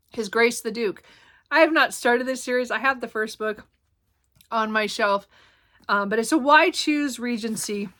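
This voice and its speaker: Female book vlogger, casual and chatty, bright tone, slight hoarseness, meandering delivery full of fillers. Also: fairly high pitch. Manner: rambling, many ums and self-corrections. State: slightly hoarse, clearing throat. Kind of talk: casual book haul chat.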